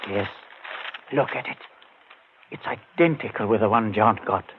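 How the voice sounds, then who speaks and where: An actor playing a grieving brother set on finding a murderer, earnest and urgent in 1940s radio drama style. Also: male voice, on the low side, a little slow, a little hiss or hum, lightly acted. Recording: tinny old radio broadcast.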